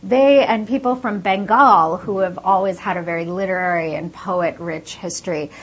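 A woman's voice: on the low side, moderate pace, husky, dull audio. A woman, born in India and raised in the United States, explaining her research fluently in a casual interview with many "like" fillers.

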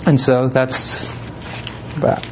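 A man giving an informal talk, reading letters aloud in a relaxed way with frequent pauses and ums. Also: medium pitch, background noise. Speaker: presenter.

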